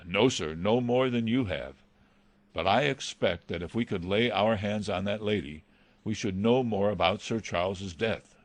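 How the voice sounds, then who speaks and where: Audiobook role: man as loyal butler, lowish voice, dry sound, respectful, earnest, hesitant. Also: normal speed, dry recording, natural voice. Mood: earnest, apologetic. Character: deferential, loyal male butler of a country house. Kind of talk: audiobook character dialogue.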